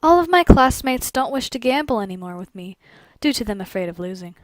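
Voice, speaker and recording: A poised young aristocratic lady played by a woman in a fan fiction reading. Her voice is high and closely recorded with little room sound. She speaks formally and with measured, genteel composure. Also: lively intonation, no room sound, natural voice. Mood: cool, subdued. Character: composed, elegant young gambling lady in Gothic dress.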